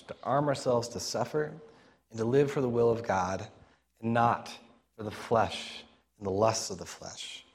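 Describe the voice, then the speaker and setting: A man preaching an informal sermon as a pastor, in a raspy, strained voice that he pushes for volume, speaking conversationally and earnestly with pauses mid-phrase. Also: medium pitch.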